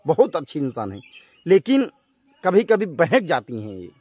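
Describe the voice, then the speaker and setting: A male vlogger with a fairly high voice delivers a confrontational rant straight to his viewers. He scolds with emphasis and repeats his phrases. The sound is dry, with very little room on it. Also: huge pitch swings, moderate pace, mellow, husky, no echo, lo-fi sound. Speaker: YouTube vlogger addressing viewers.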